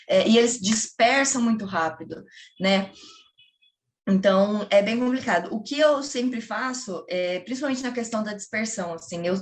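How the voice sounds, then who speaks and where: Woman teacher chatting to other teachers, bit high voice, lively and informal. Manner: chatty, lively, quotes children and classroom chants. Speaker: English teacher leading a teacher training session. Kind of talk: casual explanatory talk to colleagues.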